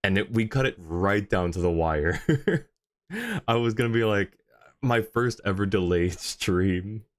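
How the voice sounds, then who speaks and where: Deep-voiced man chatting on a livestream. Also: animated, measured pace. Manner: friendly, chatty, thanks and greets viewers by turns. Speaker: live streamer, host. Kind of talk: casual livestream chat with viewers.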